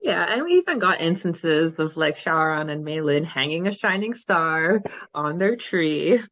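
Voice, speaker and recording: A woman's voice: deep voice, very animated, unhurried, fairly bright tone, dry recording, muffled recording. A woman co-hosting a podcast in casual, chatty talk, prone to giggling. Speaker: podcast co-host. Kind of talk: casual chat about a fan fiction.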